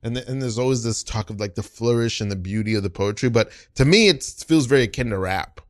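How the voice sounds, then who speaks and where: A man's voice: medium pitch, fast talker, no room sound, clean studio sound. An American man hosting a casual podcast conversation, giving his opinions emphatically. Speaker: podcast host.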